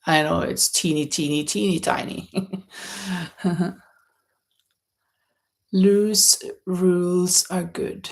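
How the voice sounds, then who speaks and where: Casting: female livestream host, Norwegian accent, very unhurried delivery, gravelly fry, hesitant chatty style. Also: lowish voice, steady tone. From Norway. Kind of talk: casual chat while knitting, reading out viewer comments. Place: indoors at home in a holiday stay.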